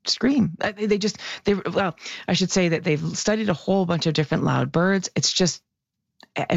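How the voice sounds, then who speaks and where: Woman science columnist, gravelly edge, animated and loud, slightly dull broadcast sound. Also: deep voice, dry recording. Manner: lively, animated explainer, self-described loud voice. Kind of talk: radio science segment chat.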